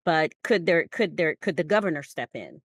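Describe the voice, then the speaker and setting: American female podcast co-host, notably low voice, close dry sound, emphatic conversational storyteller. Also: big pitch swings, moderate pace, husky, no room sound. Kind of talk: conversational discussion.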